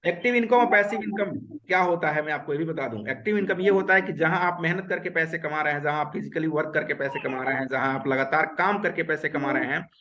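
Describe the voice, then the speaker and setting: A man's voice: on the high side, quick pace, few pauses, bright, forceful tone. A man from Uttar Pradesh teaching an online webinar over a video call, earnest and repetitive, mixing English words into his talk. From Uttar Pradesh, India. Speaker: webinar instructor.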